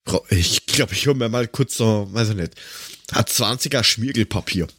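A man with a husky, rough-edged voice chatting casually and jokily as a podcast host, full of colloquial exclamations. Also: on the low side, moderate pace, a bit bright, no echo. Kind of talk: casual banter, tasting snacks and drinks.

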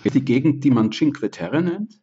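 A man's voice: mid-range voice, animated, moderate pace, mellow, breathy edge, dull audio. A middle-aged man on a podcast panel, dropping dry jokes. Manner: dry, joking remarks. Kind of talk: casual remote chat.

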